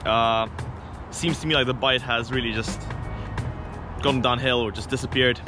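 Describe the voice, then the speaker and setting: A young man in his twenties, vlogging outdoors by a lake while fishing, talking excitedly and repeating himself as he reels in carp. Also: medium pitch, music in the background. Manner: excitable, repeats himself, fast exclamations while reeling in fish. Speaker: angler vlogger.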